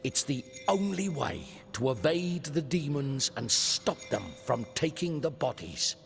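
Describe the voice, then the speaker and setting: A creaky, mysterious keeper of rituals. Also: male voice, medium pitch, lively intonation. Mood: solemn, secretive. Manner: slow, grave, ominous. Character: solemn, mysterious male keeper of ancient secret rituals. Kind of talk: dramatic video game acting. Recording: music behind the voice. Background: music.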